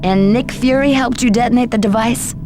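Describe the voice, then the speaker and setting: A woman's voice: on the high side, a little fast, noisy background, natural voice. A woman playing a serious official interrogator in a video game, probing and formal. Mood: skeptical. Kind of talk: scripted game dialogue.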